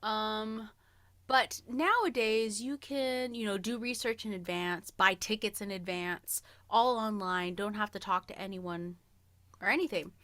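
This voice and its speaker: A woman in her thirties with a bright, forward voice, chatting casually as a podcast co-host. She takes her time over the story and is playful and self-deprecating, in a dry, close-sounding recording. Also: medium pitch, a little slow, no echo. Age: early 30s.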